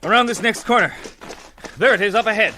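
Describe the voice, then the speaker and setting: An actor playing a decisive heroic adventurer in a radio serial, giving orders with authority. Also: male voice, sits high, a little fast, background noise, close to an everyday voice. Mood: grave, commanding. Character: commanding heroic male adventurer, calm and decisive. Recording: old radio drama recording.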